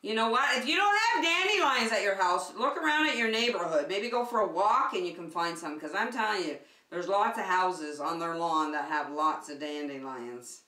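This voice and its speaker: Woman, middle-aged, bright lowish voice, children's teacher, sing-song and encouraging, distant roomy recording. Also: normal speed, hardly any pauses. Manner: animated, sing-song, encouraging, simple phrasing for small children. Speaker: preschool circle time teacher and host. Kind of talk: children's lesson with craft demonstration. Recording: echoey room, distant microphone.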